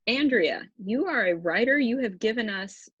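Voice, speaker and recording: A woman co-hosts a podcast over a video call, her voice a little husky. The sound is dry and close. She is upbeat and encouraging. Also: on the high side, animated, moderate pace, a bit bright, no echo, highs cut off. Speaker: podcast co-host. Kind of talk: casual video call chat.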